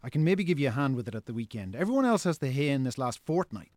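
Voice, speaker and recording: An actor playing a reproachful, sensible Irish brother in a naturalistic radio drama, speaking in a colloquial, chiding way. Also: male voice, medium pitch, sing-song, fast talker, darkish tone, dry recording, close to an everyday voice. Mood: matter-of-fact.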